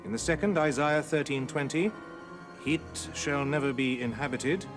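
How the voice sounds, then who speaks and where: A man voicing a solemn old-time parson reading his private notes, grave, formal and deliberate, in an audiobook ghost story. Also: on the high side, music in the background, close to an everyday voice. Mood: grave, uneasy.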